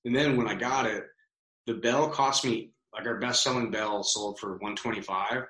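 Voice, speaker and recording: A man's voice: lowish voice, moderate pace, a bit bright. A man guesting on a podcast interview, talking casually with plenty of fillers and swearing. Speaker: guest, business founder. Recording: roomy, echoey sound.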